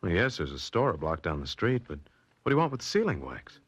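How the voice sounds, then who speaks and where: A man's voice: deep voice, very animated, a little fast, a bit bright, breathy edge, no room sound, exaggerated voice. An actor playing a nervous, joking painter in 1940s radio drama acting style. He keeps asking anxious questions and making wry quips. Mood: puzzled. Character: jittery, quipping male painter, adult. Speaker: painter, the detective's client.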